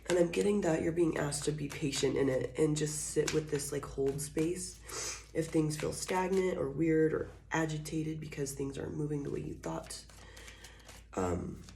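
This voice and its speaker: Low, gravelly woman with a hoarse voice. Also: fairly even intonation. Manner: soft, slow and drawn-out, with scattered fillers. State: hoarse, recovering from illness, coughing. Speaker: tarot reader. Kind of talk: casual channeled tarot reading. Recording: echoey room, faint noise.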